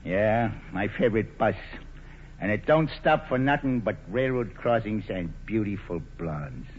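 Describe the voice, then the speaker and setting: A man's voice: on the low side, animated, measured pace. A cocky, swooping New wise guy. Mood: cocky, flirtatious. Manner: slangy, cocky, wisecracking. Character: brash, flirtatious city wise guy with shady past. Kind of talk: 1940s radio play acting. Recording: muffled, hissy old radio broadcast.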